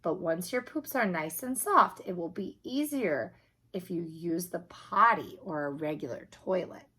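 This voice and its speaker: A woman's voice: lowish voice, expressive, measured pace, pushed and bright, husky, full character voice. A woman voicing a kindly children's doctor in a picture book, explaining things patiently and encouragingly. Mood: reassuring.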